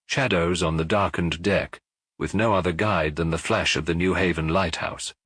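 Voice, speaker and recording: A man's voice: sits low, fairly even intonation, moderate pace, a bit bright, husky, no room sound, clean studio sound. A man with a British accent reading a detective story as scripted audiobook narration.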